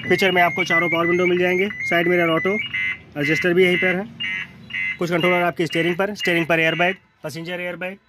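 A man's voice: sits high, little pitch movement, fast. A man with a North Indian accent presenting used cars in a matter-of-fact walkaround, listing details in short phrases. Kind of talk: spoken walkaround sales presentation. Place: outdoor car lot, beside and inside cars. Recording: noisy outdoor street ambience.

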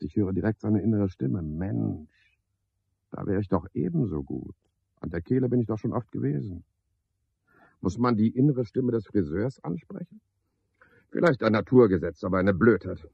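Radio drama night porter: husky male, sardonic, clipped, muttering to himself, roomy slightly muffled sound. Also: deep voice, full character voice. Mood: brooding, sardonic. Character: cynical, chatty night porter, muttering grim calculations. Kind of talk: 1970s German radio play monologue.

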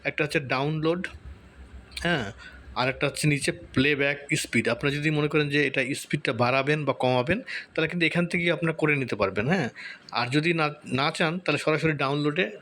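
A man's voice: fairly high pitch, fairly quick, bright, forceful tone, a little hiss or hum. A man hosting a casual step-by-step tutorial, conversational and repetitive, often checking in with short tag questions. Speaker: tutorial host.

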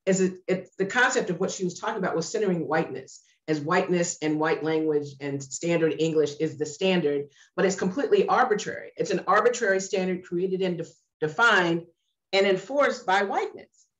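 A woman's voice: lowish voice, a little fast, dull audio. A woman facilitating a workshop discussion over a video call, speaking emphatically and repeating points for stress. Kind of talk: leading an online discussion. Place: room with a sloped ceiling. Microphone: laptop webcam on a video call.

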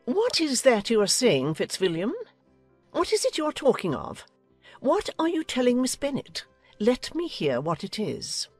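A woman's voice: fairly high pitch, huge pitch swings, a little slow, husky, no echo, faint music behind, voice pushed up, heavily acted. A woman voicing a pompous, meddling older aristocratic lady in a dramatized audiobook. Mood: imperious, boastful. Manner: pompous, commanding.

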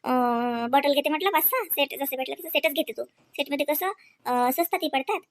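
Casting: actress for high-voiced young cartoon housewife and mom, chatty, practical, coaxing, Varhadi Marathi accent. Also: female voice, brisk. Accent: Varhadi Marathi of Vidarbha. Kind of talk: colloquial domestic comedy cartoon dialogue.

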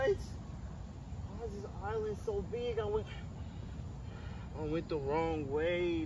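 A man's voice: on the high side, muffled recording. A young man playing a bewildered orphan stranded on a magical island, incredulous and questioning, in colloquial amateur short-film acting. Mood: frustrated. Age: young adult. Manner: incredulous, questioning, repeats himself. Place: outdoors by a lake and woods. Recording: noisy outdoor location sound.

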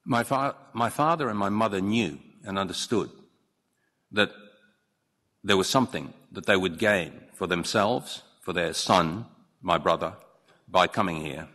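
Older guy, really low voice, slow earnest formal speech in a big echoey courtroom. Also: pushed and bright. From Hong Kong. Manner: measured and earnest, with occasional slips and restarts. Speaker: newly appointed judge giving a speech. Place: large courtroom. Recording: long reverb of a large courtroom.

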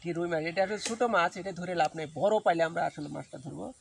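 A man's voice: on the high side, fairly quick, vocal fry. A young man hosting a fishing video, chatting casually as he baits and casts from a grassy pond bank. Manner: chatty, excited when a fish bites. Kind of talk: casual outdoor fishing commentary. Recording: noisy outdoor camera audio.

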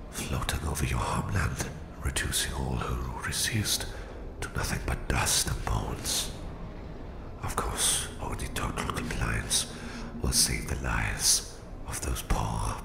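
A sinister Russian mastermind for an ASMR roleplay. His voice is extremely low and thick with gravelly creak, gloating coldly over a captive in deliberate whispers. It rings in a roomy echo. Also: exaggerated voice. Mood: gloating menace. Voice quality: very deep, gravelly, breathy whisper. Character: menacing Russian psychic villain, a cold, gloating captor. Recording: roomy, echoing voice.

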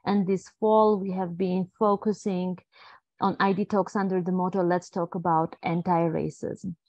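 Low-pitched female webinar host, welcoming, formal intro, dull dry call sound. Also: level tone, normal speed, darkish tone, no room sound. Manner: welcoming, with frequent fillers. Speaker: webinar facilitator. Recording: dry lo-fi video call audio.

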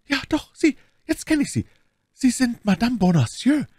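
A man's voice: on the high side, very animated, moderate pace, darkish tone, no echo, clean studio sound, pitched above the performer's own voice. A man voicing a scheming, effusive noblewoman in a dramatic audiobook. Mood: urgent, triumphant. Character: scheming adult noblewoman, false, intense, gushing.

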